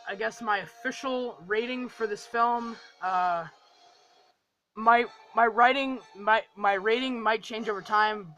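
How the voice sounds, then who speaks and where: High-pitched, bright-voiced young man gushing. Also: rough recording. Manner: gushing, rambling, repeats phrases for emphasis. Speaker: film review vlogger. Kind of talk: casual, enthusiastic movie review chat.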